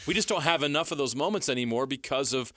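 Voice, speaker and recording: A man's voice: mid-range voice, expressive, fast, a bit bright, dry recording. A man co-hosting sports radio in casual talk, close on a studio microphone.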